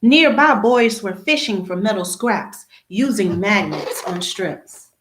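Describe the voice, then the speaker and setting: A woman reading a children's picture book aloud, phrase by phrase, with expressive emphasis at the start of sentences. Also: mid-range voice, slow, a little hiss or hum. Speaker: read-aloud storyteller. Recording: echoey room.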